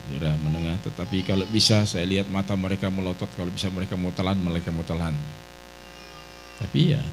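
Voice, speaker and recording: Deep-voiced male pastor giving testimony. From Medan, Indonesia. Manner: repeats "ya" and "saudara" between phrases. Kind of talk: sermon testimony. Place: church sanctuary. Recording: faint mains hum from live stream.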